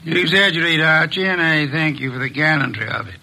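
A fat, unflappable detective with formal diction. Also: male voice, on the high side, expressive, gravelly, pushed and bright, full character voice. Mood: calm, measured. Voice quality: formal, measured, elaborate diction. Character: fat, pompous, unflappable detective, calm and precise. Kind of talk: 1950s radio drama acting.

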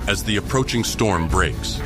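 A man narrating an audio drama in a measured, descriptive way. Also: on the low side, lively intonation. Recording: loud music score behind.

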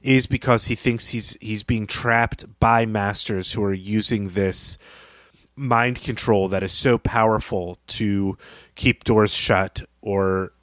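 A man co-hosting a discussion show, reasoning aloud in a reflective, tentative, conversational way with measured phrasing. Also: lowish voice, no room sound. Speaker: podcast co-host discussing fiction.

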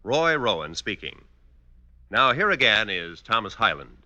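A man's voice: on the low side, sing-song, unhurried, sharp tone, no room sound, highs cut off. An American man announcing scripted credits in a formal 1950s radio style.

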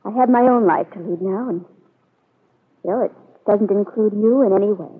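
A woman's voice: medium pitch, normal speed, breathy edge, close to an everyday voice. An actress playing a hurt, resolute young woman in a 1940s radio soap, emphatic and melodramatic. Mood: firm, wounded. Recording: muffled old radio broadcast.

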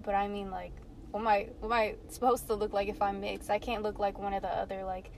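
A woman's voice: mid-range voice, level tone, fast, vocal fry, a little hiss or hum. A young woman in a casual group chat, talking hesitantly and often hedging with "I feel like". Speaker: panelist.

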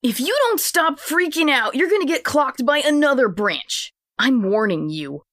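High, sassy little talking sapling. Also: female voice, expressive, moderate pace, fairly bright tone, dry recording, clean studio sound. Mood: snarky, scornful. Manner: snarky, threatening, scornful. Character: tiny, rude, sassy talking magical sapling. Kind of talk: comedic fantasy audio drama acting.

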